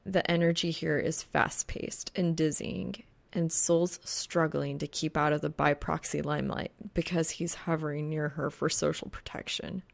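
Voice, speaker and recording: A woman's voice: low voice, level tone, normal speed, vocal fry, hardly any pauses. A woman reading a piece of fan fiction aloud as scripted audiobook narration.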